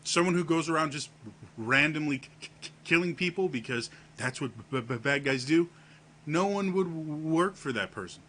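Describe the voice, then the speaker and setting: A man talking casually to camera on his vlog, with a deep voice and a heavy stutter that repeats the first sounds of words. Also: lively intonation, moderate pace, pushed and bright, a little hiss or hum. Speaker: vlogger.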